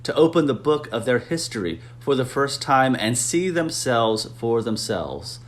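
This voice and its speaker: A man's voice: medium pitch, big pitch swings, measured pace. Man reading an essay solemnly. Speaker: reader.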